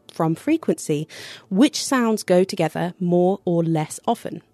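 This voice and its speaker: A woman producer presenting a science podcast in clear, scripted explanatory narration. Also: mid-range voice, darkish tone, dry recording. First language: English.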